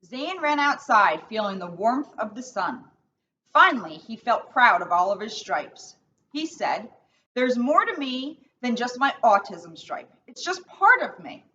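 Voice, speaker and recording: A woman who works as a school police officer speaks to children in a plain, encouraging and slightly halting way. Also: on the high side, very animated, bright, forceful tone. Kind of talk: storybook read-aloud and casual talk to children. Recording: muffled, faintly noisy, distant microphone.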